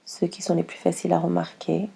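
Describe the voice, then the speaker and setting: Gravelly, hushed woman guiding relaxation. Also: fairly low pitch. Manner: slow, calm, soothing instruction with long pauses. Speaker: yoga nidra guide. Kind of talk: guided relaxation meditation.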